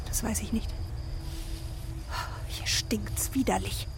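An actress playing a watchful middle-aged wife in a radio drama, uneasy and easily disgusted, speaking in a hushed, wary way. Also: female voice, medium pitch, fairly even intonation, slow pace, vocal fry. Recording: outdoor ambience noise with insects. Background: outdoor ambience, crickets.

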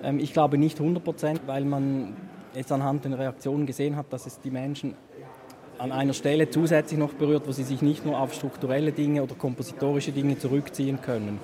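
A man giving a measured, analytical answer in an interview. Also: medium pitch, little pitch movement, mellow. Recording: crowd noise behind the voice. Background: crowd chatter.

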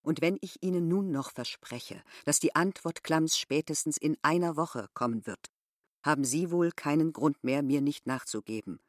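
A woman's voice: low-pitched, normal speed, close to an everyday voice. An actress playing a proud, domineering village landlady in a literary radio drama, speaking in a formal, condescending way. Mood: commanding.

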